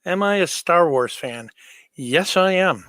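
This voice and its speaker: A man's voice: on the high side, expressive, a little slow, fairly bright tone, dry recording. A man chatting casually on a livestream, easygoing and informal as he replies to viewers. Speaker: livestream host.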